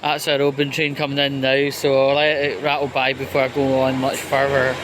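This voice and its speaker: A man's voice: medium pitch, completely flat delivery, brisk, noisy background. A Scottish man from Scotland talking casually to camera in a rambling way, with fillers and restarts, as he walks through a train station and into a lift. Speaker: vlogger. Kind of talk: casual walking monologue.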